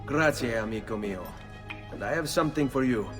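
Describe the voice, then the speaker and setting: An actor playing an earnest, brooding young assassin in a video game, speaking gravely with an Italian accent. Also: male voice, mid-range voice, expressive, lightly acted. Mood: earnest, subdued. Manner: grave, measured, peppered with Italian words. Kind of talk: dramatic video game acting. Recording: reverberant, distant, with music behind.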